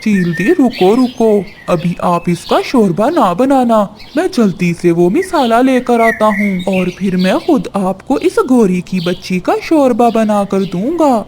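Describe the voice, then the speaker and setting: A woman's voice: mid-range voice, expressive, measured pace, few pauses, a little mellow, a little hiss or hum, natural voice. A woman voicing a crafty male crow, an earnest, wheedling schemer in a children's cartoon. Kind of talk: children's cartoon story dialogue.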